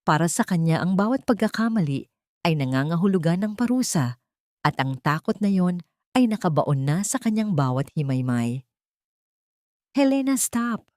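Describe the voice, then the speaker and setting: A woman's voice: deep voice, expressive, normal speed, a little mellow, no room sound, clean studio sound. A woman storyteller reading a scripted, dramatic story narration.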